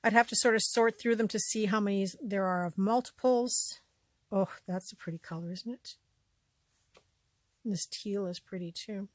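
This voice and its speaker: A woman from Canada with a slightly scratchy voice talks casually while she shows things off, her pitch sitting in an ordinary middle range. She often drifts into quieter asides before picking up again. The recording is dry and close, with no room sound. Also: normal speed, no room sound. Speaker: vlogger showing a thrift haul. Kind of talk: casual show-and-tell chat.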